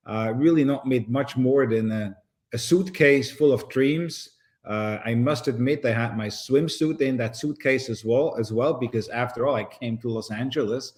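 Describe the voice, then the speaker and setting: A man's voice: medium pitch, a little mellow. Swiss-born man telling his story. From Switzerland. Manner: measured, frequent "uh" pauses. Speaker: guest, boxing promoter. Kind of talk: relaxed interview storytelling.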